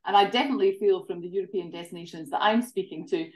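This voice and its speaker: A woman's voice: fairly low pitch, quick pace. Scottish woman, forties, interview guest on a webcam, roomy home sound. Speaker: guest, tourism association director. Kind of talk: video call interview. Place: home living room. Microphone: laptop webcam video call. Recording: roomy home video call.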